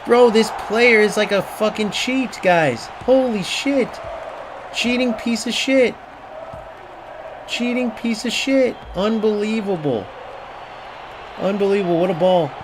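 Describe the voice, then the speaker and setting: A man ranting through live football gameplay, swearing at lucky opponents and cheering goals. His voice sits slightly high for a man. A clear layer of noise hums behind him. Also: slow pace, pauses often. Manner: profane, ranting trash-talk. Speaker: game streamer.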